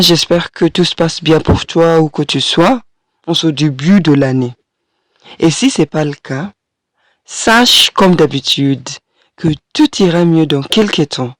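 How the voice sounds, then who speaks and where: Hoarse woman in her 30s doing a solo podcast, takes it slow, soft encouraging tone, dry close sound. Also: deep voice, no echo. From Kenya. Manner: slow, gentle, encouraging, with hesitant pauses. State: hoarse from a cold. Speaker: podcast host and French teacher. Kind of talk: solo podcast monologue addressing the listener. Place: small home studio. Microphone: studio microphone.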